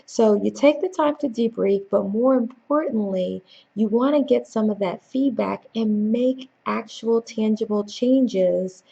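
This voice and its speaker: A woman's voice: on the high side, measured pace, gravelly, mellow, slightly rough, highs cut off. A woman corporate trainer presenting practical tips in a conversational, emphatic way, speaking directly to the listener. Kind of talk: instructional tips presentation to camera.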